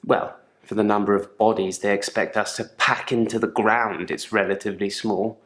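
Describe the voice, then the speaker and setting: A man's voice: lowish voice, flat delivery, normal speed, fairly bright tone, lightly acted. A man playing a troubled gravedigger in therapy for a video game, speaking in a guarded, dryly sardonic, articulate way. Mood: matter-of-fact, musing. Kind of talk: naturalistic acted monologue in therapy session. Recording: echoey room.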